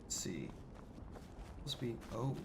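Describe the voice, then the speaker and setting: A man's voice: mid-range voice, very animated, slow, noisy background. A man playing a mild, grumbling adventurer in a game, muttering his thoughts in a hesitant, conversational way. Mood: musing. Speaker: role-playing game player. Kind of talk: in-character improvised gameplay narration.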